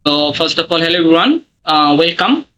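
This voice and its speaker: A man instructor with a fairly high, very bright voice runs a live online class over a video call. He speaks in simple non-native English and keeps filling pauses with "okay" and "uh." The sound is a typical call-style recording. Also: very animated, muffled recording. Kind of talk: live online training session.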